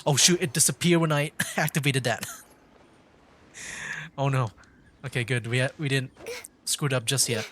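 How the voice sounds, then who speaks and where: A man's voice: mid-range voice, steady tone, normal speed, pauses often, fairly bright tone, a little hiss or hum. A man streaming a game, giving casual live commentary, thinking aloud with mild exclamations. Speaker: streamer, VTuber gamer. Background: faint game music.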